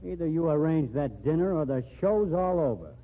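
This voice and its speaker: A man's voice: a little high, sing-song, moderate pace. An actor playing a stern, jealous man who warns a woman and threatens to expose a secret, in an old radio drama. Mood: threatening. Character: jealous, warning male friend. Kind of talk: 1940s radio drama acting. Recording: tinny lo-fi old radio broadcast.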